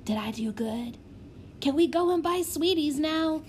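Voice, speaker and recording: Woman doing a boastful little kid from a storybook, high voice, eager, rambles on in babyish grammar. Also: expressive, a little slow, darkish tone, a little hiss or hum. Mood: excited. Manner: breathless run-on telling with childish grammar. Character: eager, boastful little girl prodigy, chattering child. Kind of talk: children's storybook character voice.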